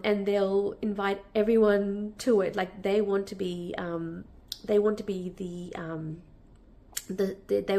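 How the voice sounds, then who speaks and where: A woman with a cold, a bit nasal and croaky, giving a casual, reassuring tarot reading full of fillers and restarts. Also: medium pitch, mellow. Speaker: tarot reader.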